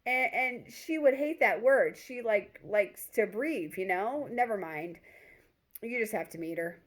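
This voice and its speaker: A woman's voice: medium pitch, lively intonation, a little slow, close to an everyday voice. Woman voicing an eager, wordy college guy. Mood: smitten.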